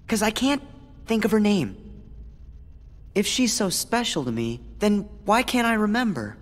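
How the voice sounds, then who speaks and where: An earnest teenage hero with a very high, bright-edged young voice that rises and falls as he talks, unhurried and sincere. The line rings with a long hall-like reverb over soft game music. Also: big pitch swings. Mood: confused. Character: earnest kind-hearted teenage boy hero. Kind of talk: video game voice acting.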